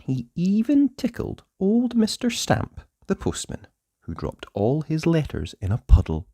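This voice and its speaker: A man narrating a children's storybook aloud in a playful way, with dramatic pauses and emphasis. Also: fairly high pitch, wildly swooping pitch, mellow, no room sound, studio quality.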